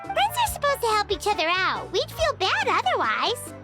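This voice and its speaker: Brief: earnest little game child, extremely high, bouncy pitch, music behind. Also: female voice, wildly swooping pitch, moderate pace, full character voice. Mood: eager, earnest. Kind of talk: video game voice acting.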